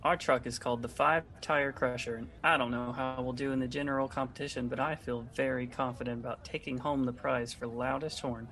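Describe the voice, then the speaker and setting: A man playing an earnest, deadpan monster truck owner in a comedy sketch, speaking rather formally. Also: medium pitch, a little fast, hardly any pauses, natural voice.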